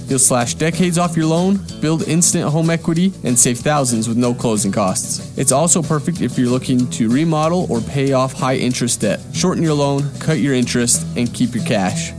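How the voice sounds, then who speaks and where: Man reading a bank radio ad. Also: medium pitch. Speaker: ad spokesman. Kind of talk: scripted radio ad.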